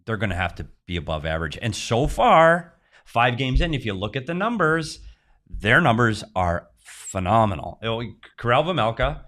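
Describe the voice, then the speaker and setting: A man co-hosting a sports talk show, speaking at a middle pitch. He talks in an easygoing, emphatic way, often restarting and doubling up words as he makes his point. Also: sing-song, moderate pace, a bit bright. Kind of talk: casual hockey chat.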